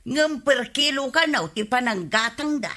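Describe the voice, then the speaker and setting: An actress playing a gossipy, nagging village wife in a radio comedy drama. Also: female voice, high voice, normal speed, bright, forceful tone. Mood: gossiping, scolding.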